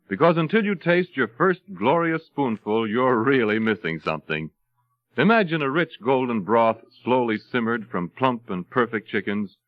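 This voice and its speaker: A man's voice: medium pitch, dry recording. A man reading a scripted 1930s radio advertisement as a polished, persuasive announcer. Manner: polished, persuasive descriptive delivery. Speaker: commercial announcer. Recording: dry, muffled vintage radio broadcast.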